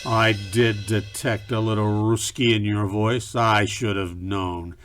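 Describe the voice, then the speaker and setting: Wry hardboiled detective. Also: male voice, on the low side, slow, faint music behind. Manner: deadpan, wisecracking. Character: wry, hardboiled film noir private detective. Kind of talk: campy radio noir narration and acting.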